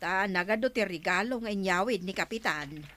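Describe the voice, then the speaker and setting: A woman's voice: medium pitch, fairly quick, sharp tone, natural voice. A woman with an Ilocano Filipino accent playing a chatty village official in a radio comedy drama.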